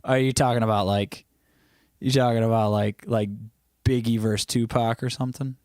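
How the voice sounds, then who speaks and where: A man's voice: mid-range voice, fairly even intonation, moderate pace, darkish tone, smooth, no room sound. Guy hosting a livestream, medium voice, dry sound, rambly, teasing chat. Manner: chatty, teasing, reads viewer comments aloud; rambling with frequent restarts. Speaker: livestream host.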